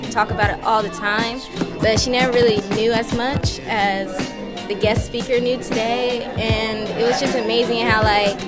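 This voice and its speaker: A woman giving enthusiastic interview comments. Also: medium pitch. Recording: music playing behind. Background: music.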